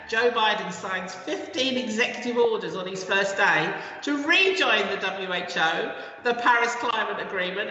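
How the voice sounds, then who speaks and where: A woman's voice: mid-range voice, pushed and bright. A woman hosting a casual live stream show, chatty and hesitant, with many fillers as she reads out items. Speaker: host. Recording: roomy echo, dull lo-fi sound.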